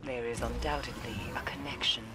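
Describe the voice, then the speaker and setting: A woman's voice: extremely low pitch, steady tone, heavy background noise. An actress playing a grave, haunted woman mystic in a video game, speaking in a solemn, cryptic, theatrical way. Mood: grave, intent. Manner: solemn, theatrical, deliberate delivery. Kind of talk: dramatic video game voice acting.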